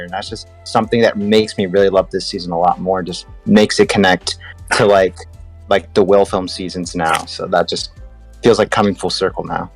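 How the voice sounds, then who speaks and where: A man talking casually and enthusiastically as a panelist on a fan podcast. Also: lowish voice.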